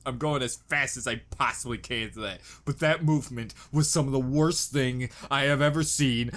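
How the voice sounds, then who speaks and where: A man's voice: fairly high pitch, a bit bright. A man giving casual, jokey reaction commentary with exaggerated, dramatic exclamations. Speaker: reaction video host.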